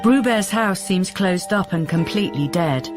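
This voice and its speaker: A woman's voice: lowish voice, lively intonation, normal speed, natural voice. A woman giving scripted narration for a radio drama in the present tense. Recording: music clearly behind the voice.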